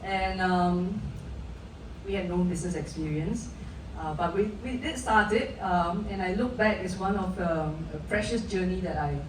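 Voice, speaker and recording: A woman's voice: lowish voice, normal speed. A middle-aged woman from Malaysia, a guest speaker telling her personal story at a live event, earnest, with many restarts. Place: event hall. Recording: distant echoey hall mic, noisy.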